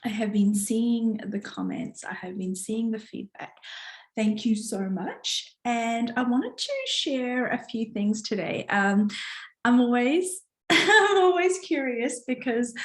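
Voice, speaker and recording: A woman coach chatting casually and encouragingly to followers on a live stream, her voice strained from having partly lost it. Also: mid-range voice. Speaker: coach hosting a live online challenge. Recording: roomy, echoing live stream.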